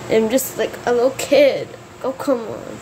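A woman as a glum, low-pitched little kid. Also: expressive, normal speed, lightly acted. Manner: glum, muttering. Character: gloomy, depressed little kid, wary and grumbling. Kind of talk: amateur cartoon voice-over. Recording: noisy home recording.

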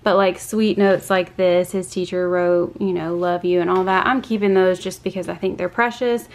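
A woman's voice: lowish voice, fairly even intonation, moderate pace. A woman, chatting casually in a voice-over for her home vlog. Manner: chatty, confiding, says y'all. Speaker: vlogger.

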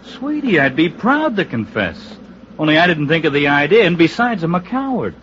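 A man's voice: on the high side, big pitch swings, normal speed, a bit bright. An actor playing a flippant, sarcastic show-business man in a 1950s radio drama, glib and mock-grandiose. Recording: dull, noisy old radio recording.

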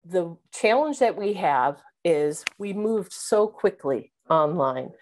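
Middle-aged woman presenter, slightly low voice, webcam talk, coaching tone with ums. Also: expressive, slow. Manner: instructive, fillers like um and uh, asks "right?". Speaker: keynote speaker on teaching. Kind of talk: webinar presentation. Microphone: video call webcam.

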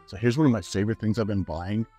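A man's voice: fairly low pitch, fast talker, music in the background. A man in his thirties from Canada, chatting casually to camera while walking through a grocery store on a lapel mic. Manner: chatty, upbeat, talks to camera with fillers. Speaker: fitness vlogger. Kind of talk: casual walk-through vlog.

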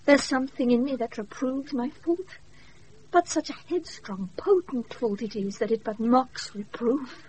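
A woman's voice: a little high, a little slow, a little mellow, lightly acted. An actress playing a proud, passionate, lovesick noble lady in a radio play, with formal, yearning verse delivery and a British accent. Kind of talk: classical radio play acting. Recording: dull, noisy off-air radio recording.

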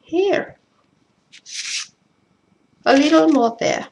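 Woman, Finnish accent, creaky voice, unhurried pace, casual live tutorial, halting English, slightly noisy recording. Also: sits high, expressive, darkish tone, highs cut off. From Finland. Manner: self-deprecating, halting English. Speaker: craft demonstrator. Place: indoors at home. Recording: noisy live stream with clicks.

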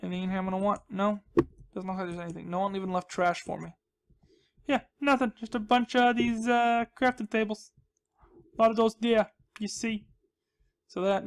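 High-pitched, creaky, goofy man rambling over gameplay. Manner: goofy, playful, repeats words and phrases. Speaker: host of a gaming channel. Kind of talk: casual rambling gameplay commentary.

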